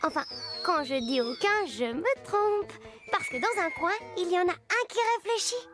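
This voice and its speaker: Very high-pitched little girl storyteller. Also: normal speed. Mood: eager storytelling. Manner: expressive, teasing, childlike. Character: playful little girl telling fairy tales. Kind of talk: animated storytelling for children. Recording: music behind the voice.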